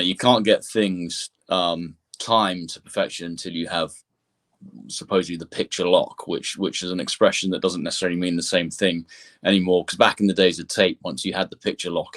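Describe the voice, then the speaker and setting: A man's voice: low voice, steady tone, moderate pace, a bit bright. A man giving discursive explanations as an interview guest, often restarting and repeating words as he goes. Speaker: composer and sound engineer, interview guest.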